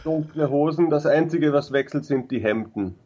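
A man's voice: on the high side, normal speed, darkish tone. Laconic man in his thirties, dull call audio. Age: 30s. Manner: dry, laconic answers. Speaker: guest. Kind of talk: casual interview over video call.

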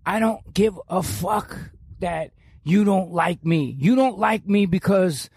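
A man in his sixties, a podcast guest, talking loudly and ranting with heavy swearing. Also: high voice, expressive, takes it slowly, creaky, husky, no echo, studio quality. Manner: loud, profane, ranting. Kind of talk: heated podcast conversation.

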